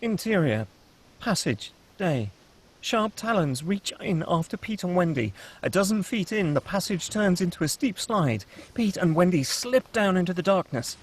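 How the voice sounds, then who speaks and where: A man's voice: high-pitched, big pitch swings, moderate pace. A man reading scene headings and stage directions as the narrator of a scripted sci-fi table read.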